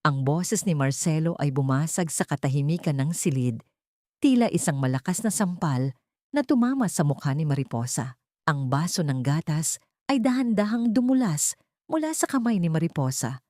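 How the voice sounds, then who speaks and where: Female audiobook narrator, slightly low voice, dramatic scripted delivery, very dry recording. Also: lively intonation, moderate pace, warm tone, no echo.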